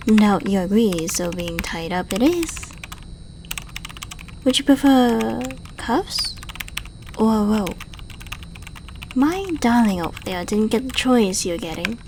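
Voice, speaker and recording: A woman's voice: on the high side, darkish tone, slight background noise. A woman playing a suave, flirtatious secret agent in an ASMR roleplay, speaking to the listener in a playful, polite and coolly menacing way. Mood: calm, teasing menace. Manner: playful, polite, coaxing, unhurried.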